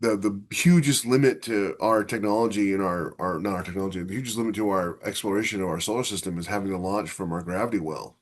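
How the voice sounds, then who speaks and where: Lower-voiced man in casual discussion. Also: fast, hardly any pauses. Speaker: podcast co-host.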